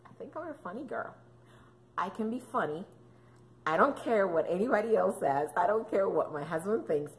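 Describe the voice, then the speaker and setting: A woman's voice: medium pitch, animated, moderate pace. A woman, chatting casually to camera as a vlogger, playful and joking with lots of fillers. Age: 30s. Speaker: fitness and mom vlogger. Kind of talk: casual chatty vlog talk.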